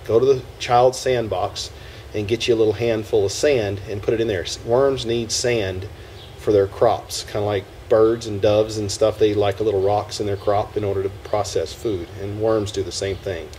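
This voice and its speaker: A man's voice: on the low side, fairly even intonation, normal speed, darkish tone, a little hiss or hum. A man hosting a homestead video, talking casually through a hands-on demonstration outdoors in a yard, folksy and step by step. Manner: folksy, talks through each step while working.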